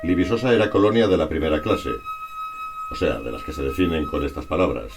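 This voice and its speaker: A man's voice: very deep voice, brisk. A man reads a scripted historical narration in a formal, expository way. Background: music.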